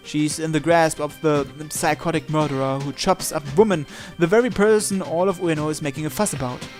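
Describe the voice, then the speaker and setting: A man with a slightly high voice reads a visual novel aloud. His narration is theatrical and suspenseful, with game music playing behind him. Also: brisk. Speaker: visual novel let's player. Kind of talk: dramatic read-aloud narration.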